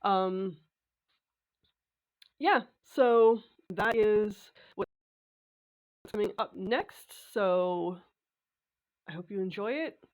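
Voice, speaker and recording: Raspy, congested woman chatting about sewing. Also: mid-range voice, extremely slow, long pauses, darkish tone, muffled recording. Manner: chatty, with frequent filler sounds and restarts. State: congested, recovering from a cold. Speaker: sewing vlogger. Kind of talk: casual talk to camera.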